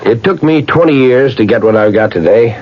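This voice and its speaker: Actor as animated, plainspoken car owner. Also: male voice, mid-range voice, a little fast, faint music behind, natural voice. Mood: skeptical, then satisfied. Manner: conversational, emphatic. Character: plainspoken proud big-car owner in commercial. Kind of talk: 1970s radio ad monologue. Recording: muffled old radio with faint hiss.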